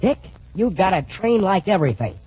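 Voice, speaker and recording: A husky, fairly high-voiced young cadet sidekick in a 1940s radio adventure. He is loyal, folksy and wisecracking, with big swoops of pitch, on a dull vintage recording. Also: big pitch swings, normal speed, dark tone, exaggerated voice. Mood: bantering.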